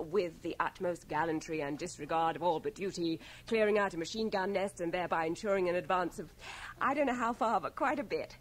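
An actress playing a tender, teasing young English volunteer nurse in a radio drama, poised and brisk. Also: female voice, mid-range voice, a little hiss or hum, close to an everyday voice. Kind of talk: 1980 radio drama acting.

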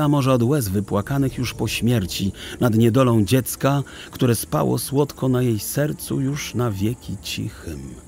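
A man narrating a literary story for an audio drama in a measured, expressive and solemn way. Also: lowish voice.

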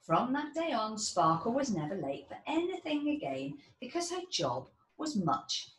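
Expressive woman reading aloud, roomy sound. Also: high voice. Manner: expressive, deliberate storytelling. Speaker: storybook reader. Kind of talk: children's read-aloud. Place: small indoor children's playroom. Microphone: camera mic about a metre away. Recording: roomy, distant mic, faint background noise.

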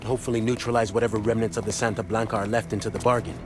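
An actor playing a wry, earnest intelligence contact in a video game, briefing a soldier in a conspiratorial, colloquial way. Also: male voice, lowish voice, steady tone, very fast, over music, lightly acted. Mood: wry, intent. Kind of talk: video game cutscene dialogue.

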